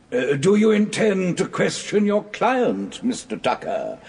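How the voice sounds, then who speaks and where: A man playing a dry, impatient British judge in a radio courtroom drama, measured and withering. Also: on the high side, wildly swooping pitch, darkish tone, breathy edge, heavily acted.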